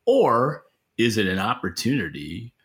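A man's voice: fairly low pitch, very animated, a little slow, fairly bright tone. A man from Western New York with an American accent, co-hosting a podcast in plainspoken, casual analysis. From Western New York, United States. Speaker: podcast co-host.

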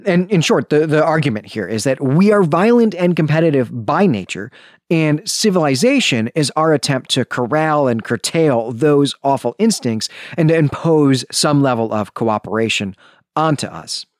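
A man's voice: fairly high pitch, animated, moderate pace, dry recording, studio quality. A man hosting a podcast, giving semi-scripted literary analysis in an emphatic, explanatory way, often checking in with the listener. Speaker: podcast host.

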